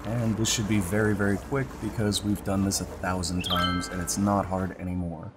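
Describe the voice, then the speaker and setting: Deep-voiced congested man over game music. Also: normal speed. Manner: relaxed, thinking aloud, repeating words as he clicks. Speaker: let's play host. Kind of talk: casual gameplay commentary. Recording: background music under voice.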